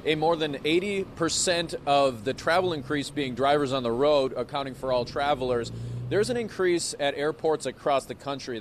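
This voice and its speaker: Man, American accent, live news reporter, medium pitch, faint vehicle noise behind. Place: live on location at an airport. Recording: clear outdoor traffic-like background noise.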